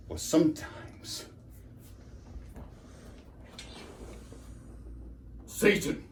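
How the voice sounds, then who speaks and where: An actor playing a nervous, henpecked husband who rambles and pities himself through a theatrical comic lecture monologue. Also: male voice, on the high side, a bit bright, heavily acted. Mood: wounded, rambling. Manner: digressive, self-pitying, exclaiming then trailing off. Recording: roomy, echoing, distant mic with background noise.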